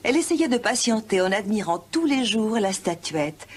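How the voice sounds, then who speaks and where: A woman storyteller giving scripted fairy-tale narration for a children's animated film. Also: medium pitch, moderate pace, slight background noise.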